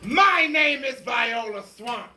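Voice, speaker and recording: Reader putting on a loud scratchy witchy schoolmarm. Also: medium pitch, expressive, pitched above the performer's own voice, heavily acted. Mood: barking, stern. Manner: barking, drawn-out commands. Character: stern, scratchy-voiced witchy substitute lady, bossy and menacing. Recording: echoey room, distant mic, faint noise.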